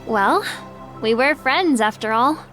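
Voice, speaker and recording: A woman acting a gentle young friend in a video game cutscene. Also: a little high, lively intonation, slow pace, faint music behind. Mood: thoughtful.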